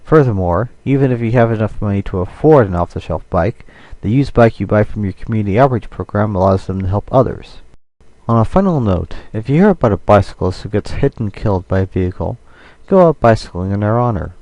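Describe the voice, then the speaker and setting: A man recording a personal audio log as a monologue, explaining things in a measured, somewhat formal way. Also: on the low side, lively intonation, a little mellow, no echo, poor audio.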